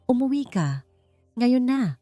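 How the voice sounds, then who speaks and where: A woman's voice: fairly high pitch, sing-song, slow pace, warm tone, faint music behind, pitched above the performer's own voice. A woman voicing a stern, wealthy father in an audiobook drama, curt, authoritative and scolding. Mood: commanding. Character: stern, wealthy father, cold commanding patriarch.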